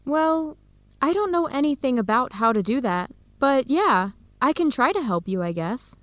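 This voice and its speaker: A woman's voice: sits high, animated, normal speed, no echo. A woman with an American accent playing a friendly young researcher in an English-learning radio drama. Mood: hesitant. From United States. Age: young adult.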